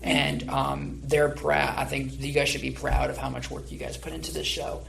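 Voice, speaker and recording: A young man in his late teens hosts a casual interview show. His voice has noticeable fry, and he rambles along in an encouraging tone. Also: mid-range voice, completely flat delivery, normal speed, fairly bright tone, a little hiss or hum. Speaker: podcast host.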